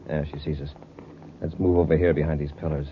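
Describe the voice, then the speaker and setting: An actor playing an authoritative, decisive space patrol commander with a clipped, commanding manner in a vintage radio serial. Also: male voice, extremely low pitch, little pitch movement, fast. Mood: steady, businesslike. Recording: dull old radio recording, faint hiss.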